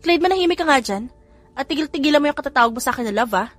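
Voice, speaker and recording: A woman's voice: high voice, animated, rapid-fire, fairly bright tone, pitched above the performer's own voice. A woman voicing an irritated, flustered young mother who snaps back sharply. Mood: exasperated. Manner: snappy, exasperated.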